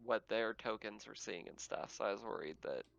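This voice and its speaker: A man's voice: fairly low pitch, moderate pace, vocal fry, a bit bright, no room sound, dull audio. A man running an online tabletop game as game master, narrating casually and often chuckling as he talks. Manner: chuckles often while talking. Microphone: online voice call.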